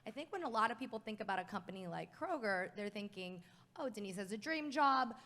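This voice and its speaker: A woman's voice: mid-range voice, expressive, brisk, fairly bright tone. A woman moderating a live conference panel, upbeat and emphatic in her delivery.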